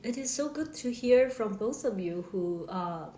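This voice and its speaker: A woman professor from Sichuan, China, whose first language is the Sichuan dialect, speaking as a podcast guest in a measured way with frequent "um" and "you know". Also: mid-range voice, animated, warm tone. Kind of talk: podcast discussion. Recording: roomy echo with faint background noise.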